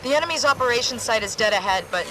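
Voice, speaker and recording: Woman acting a brisk, wry soldier. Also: fairly high pitch, expressive, noisy background, lightly acted. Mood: alert. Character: brisk, wry female special forces soldier. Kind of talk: scripted military game dialogue. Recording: loud music over dialogue.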